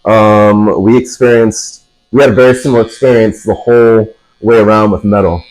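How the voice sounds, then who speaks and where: A man's voice: lowish voice, normal speed, poor audio. A man hosting a podcast, chatting casually and eagerly asking his guest questions. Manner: relaxed, asks questions, enthusiastic. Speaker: podcast host. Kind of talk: casual interview chat.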